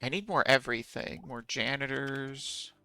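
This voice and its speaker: A man's voice: medium pitch, measured pace, bright, forceful tone, smooth. A man streaming a game, chatting casually with viewers in a musing, self-deprecating way.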